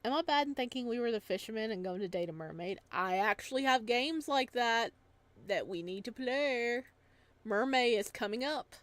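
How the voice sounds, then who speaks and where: A woman's voice: fairly high pitch, animated, normal speed, no room sound. A woman hosting a gaming video, reading a story aloud and adding casual commentary.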